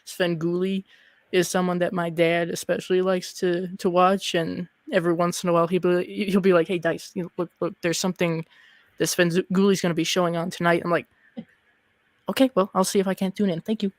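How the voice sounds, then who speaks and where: A chatty young adult hosting a casual livestream interview, quick to chime in with short affirmations. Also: mid-range voice, level tone, dry recording. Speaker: host interviewer of a horror livestream.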